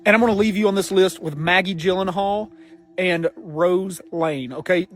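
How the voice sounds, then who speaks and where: A man's voice: high voice, normal speed, a little hiss or hum. A man with a Southern US accent hosting a casual list video, talking emphatically to viewers and repeating phrases to drive points home. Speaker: host of a pop culture video show.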